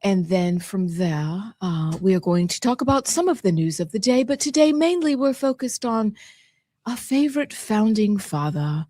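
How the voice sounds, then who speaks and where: A woman's voice: medium pitch, animated, normal speed, warm tone, dry recording. A woman hosting a celebratory talk show in a playfully ceremonious manner, speaking with a put-on British accent. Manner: mock-formal, playfully ceremonious. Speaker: show host.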